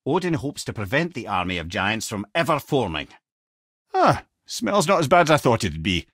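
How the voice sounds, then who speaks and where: Game casting: clever old Scottish advisor, husky voice, very expressive pitch, chatty and wry. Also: male voice, normal speed, dry recording, studio quality. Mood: solemn storytelling. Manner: wry, chatty, wordy storytelling. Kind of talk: video game character dialogue.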